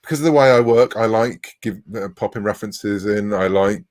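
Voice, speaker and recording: A man's voice: fairly low pitch, a little fast. A middle-aged man talking casually as an interview guest, chatty and often interrupting himself.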